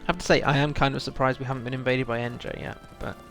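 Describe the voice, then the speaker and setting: A man's voice: mid-range voice, fairly even intonation, fast talker, fairly bright tone, soft background music. A man chatting casually as he hosts a game livestream, rambling and thinking aloud to his chat while a bit under the weather with a cold. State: feels full of cold, often clearing throat.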